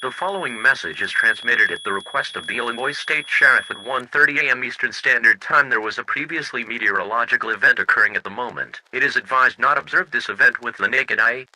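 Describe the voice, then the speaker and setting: A man delivers a scripted emergency alert in a stiff, formal way. His voice is extremely bright and forceful, with a very dry, close sound and no room around it. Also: mid-range voice, fairly quick, no echo, rough recording. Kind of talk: scripted emergency broadcast announcement.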